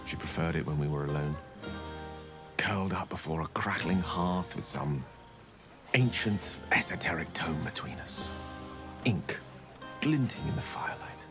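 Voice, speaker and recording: An actor playing an eloquent wizard who reminisces wistfully in a video game. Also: male voice, sits low, measured pace, long pauses, over music. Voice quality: eloquent, theatrical.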